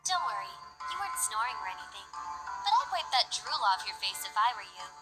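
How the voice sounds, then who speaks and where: A woman's voice: mid-range voice, big pitch swings, a little fast, lightly acted. A woman playing a young, friendly, teasing coffee shop girl in a visual novel game, with music behind her. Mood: playful teasing.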